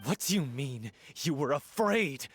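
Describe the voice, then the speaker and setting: An actor playing a devoted young male stage performer, earnest and prone to furious outbursts, with a slightly husky voice. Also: on the high side, lively intonation, unhurried, faint music behind, exaggerated voice. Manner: earnest, then shouting accusations. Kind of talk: game voice acting.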